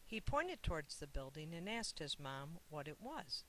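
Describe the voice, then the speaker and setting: An author narrates a children's picture book in a measured read-aloud voice of medium pitch. Key words get expressive emphasis. A light hiss runs through the recording. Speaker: author reading own book.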